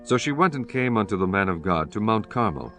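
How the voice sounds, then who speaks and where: A man's voice: lowish voice, big pitch swings, brisk, a bit bright, faint music behind. A man reading solemn, scripted scripture narration for a dramatized audiobook.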